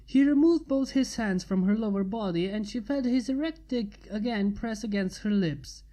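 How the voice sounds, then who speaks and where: A woman narrates a piece of fan fiction, reading it aloud as a story. Her voice is dark and mellow, at a middling pitch for a woman. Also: moderate pace, low-quality recording.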